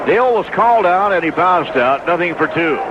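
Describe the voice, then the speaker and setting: A man with a fairly high voice gives live baseball play-by-play. The sound is a muffled old AM radio broadcast with clear noise behind him. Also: lively intonation, brisk. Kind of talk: live radio sports commentary. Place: stadium broadcast booth. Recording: noisy, dull 1980s AM radio broadcast recording.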